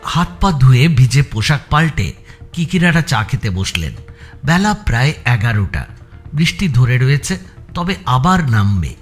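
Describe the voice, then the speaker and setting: Guy narrating an audio story, lively pitch, faint music under him. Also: measured pace.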